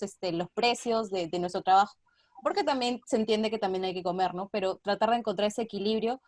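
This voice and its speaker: A woman's voice: mid-range voice, darkish tone, no room sound, studio quality. A woman with a Peruvian accent talking casually as an interview guest, earnest and explanatory. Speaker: theater artist, interview guest. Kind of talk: casual video-call interview.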